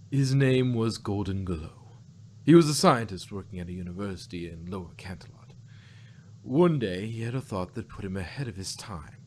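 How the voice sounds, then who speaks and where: Man voicing a low, solemn pony ruler. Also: slight background noise, lightly acted. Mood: solemn storytelling. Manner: measured, confessional storytelling. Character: solemn, ancient female pony ruler, confiding secrets. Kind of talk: amateur fan-fiction character reading.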